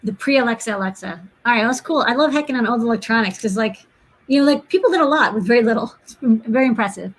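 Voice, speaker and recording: A woman's voice: a little high, animated, quick pace, poor audio. A woman hosting a casual live show in an upbeat, encouraging way. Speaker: show host.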